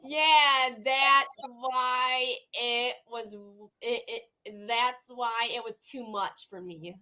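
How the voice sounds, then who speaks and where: A woman chatting casually in a halting way, often repeating her words and phrases. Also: a little high, steady tone, sharp tone, smooth. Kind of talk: casual chat.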